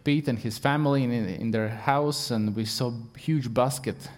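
A man preaches with a Latvian accent, searching for words in English and filling pauses with "um" and "uh." His delivery is earnest and plain, at an ordinary middle pitch for a man. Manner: halting English with many fillers, earnest. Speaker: guest preacher. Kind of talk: sermon.

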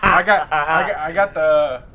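A man's voice: on the high side, unhurried, dull audio, over a noisy crowd. A man with a Mexican accent, easygoing in a casual podcast chat, often laughing and mimicking others. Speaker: podcast guest.

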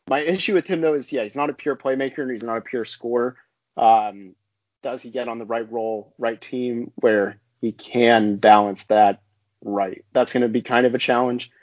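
A man's voice: mid-range voice, fairly even intonation, moderate pace, no room sound. A man co-hosting a casual basketball podcast, talking in a conversational way full of "I mean" and "like". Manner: conversational, many fillers like "I mean" and "like". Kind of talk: casual sports analysis chat. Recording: dry, slightly dull lo-fi audio.

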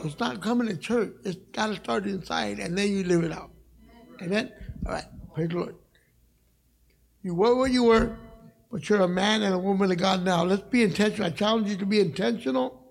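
A middle-aged man with a raspy voice, preaching a sermon in a church as a guest evangelist, passionate and conversational, joking with the congregation. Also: sits high. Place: church sanctuary.

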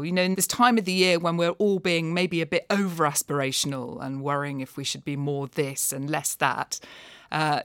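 Female books expert, low voice, articulate, discursive, conversational, dry recording. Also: no echo. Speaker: non-fiction books expert, podcast guest. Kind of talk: conversational book review.

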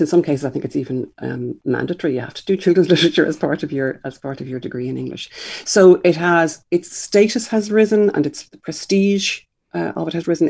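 An older speaker with an Irish accent talks reflectively in an interview, pausing on ums and restarting sentences. The voice is gravelly and husky with a dark, mellow tone. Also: mid-range voice. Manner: thoughtful, hesitant, many ums and restarts. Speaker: children's author and publisher.

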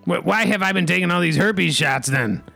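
Gravelly mock-pompous man. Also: high-pitched, moderate pace, sharp tone, soft background music. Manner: rambling, folksy catchphrases, mock-pompous. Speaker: comedy podcast host. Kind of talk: improvised comedy banter.

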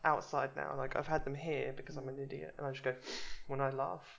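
A man hosting a casual interview, relaxed and playful, with plenty of fillers. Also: medium pitch, brisk, creaky, muffled recording. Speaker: podcast host interviewing a guest.

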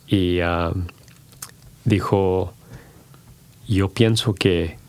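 A middle-aged man, a podcast guest who talks in halting bursts with many restarts and slips into English. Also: on the low side, vocal fry, darkish tone. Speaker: podcast guest, company founder. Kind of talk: casual bilingual interview.

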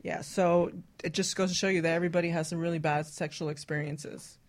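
A woman hosts a talk radio show in a slightly low-pitched voice. Her style is candid and conversational, whether she is chatting or reading a listener's story aloud. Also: steady tone, a little fast. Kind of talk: candid casual chat, reading out listener stories.

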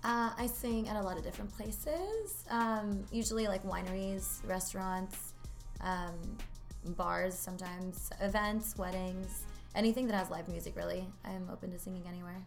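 A woman's voice: mid-range voice, moderate pace, vocal fry, soft background music. A woman in her twenties from Norway, chatting casually as an interview guest, with lots of fillers. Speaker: guest, local singer.

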